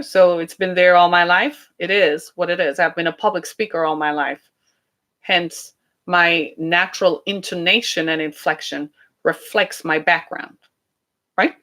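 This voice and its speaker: A woman's voice: lowish voice, a bit bright. Caribbean-accented woman hosting a podcast. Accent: British Caribbean. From British Caribbean. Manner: conversational, emphatic, asks rhetorical questions. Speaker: podcast host. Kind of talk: casual monologue podcast.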